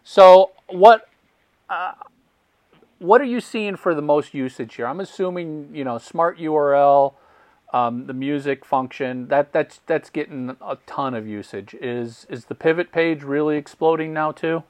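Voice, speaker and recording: A man's voice: a little high, a little slow, a little mellow, no room sound. A male podcast host interviewing a guest, asking questions with restarts and repeats. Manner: asks questions with false starts and repeats.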